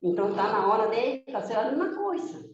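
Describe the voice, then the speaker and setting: A non-native woman speaks with fierce conviction, hammering her points home through rhetorical questions and long lists. Her voice sits a little high and rings with heavy echo. The recording is dull and lo-fi, with faint noise underneath. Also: very animated, fairly quick. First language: not Portuguese, learned it on her own. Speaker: guest speaking for domestic workers. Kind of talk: impassioned spoken testimony. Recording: very echoey, dull lo-fi remote audio with faint noise.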